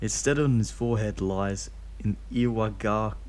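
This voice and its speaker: Man, story reader, low voice, mellow tone, steady plain reading, dry asides. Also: moderate pace, slight background noise. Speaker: reader of fan fiction.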